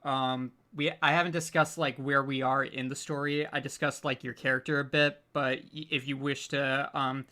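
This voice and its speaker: A man's voice: medium pitch, level tone, moderate pace, hardly any pauses, bright, forceful tone. A man hosting a casual unscripted panel, speaking in a hesitant, stammering, stop-start way and sounding apologetic. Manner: apologetic, self-deprecating. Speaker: panel host, audio drama producer.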